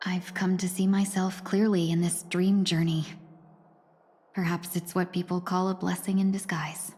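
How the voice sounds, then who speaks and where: A graceful young heroine from a video game, poised and quietly resolute. Her voice sits a little low with a soft, husky breathiness. She phrases things politely, with a gentle wryness. Long reverb trails her words. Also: little pitch movement, normal speed. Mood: calm resolve. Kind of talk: scripted video game cutscene acting. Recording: long reverberant hall echo.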